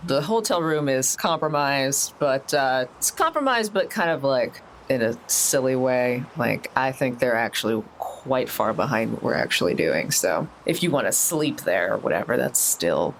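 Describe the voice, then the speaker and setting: Male tabletop player with creaky voice, animated pitch and a halting, thinking-aloud casual delivery. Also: a little hiss or hum, poor audio. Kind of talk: casual in-character table talk.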